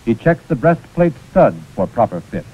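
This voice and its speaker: A man's voice: mid-range voice, big pitch swings, moderate pace, husky. An American man narrating a scripted 1940s instructional film in a precise, formal manner. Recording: muffled, scratchy 1940s film soundtrack.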